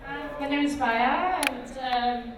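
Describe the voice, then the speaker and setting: A woman in her thirties from Vietnam speaks at a tech conference in a bright, high voice. She talks in a hesitant way, full of ums and likes. Her voice comes from some distance and rings around a very reverberant hall. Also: slow pace, crowd noise. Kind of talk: conference talk. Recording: echoey hall, mic far off.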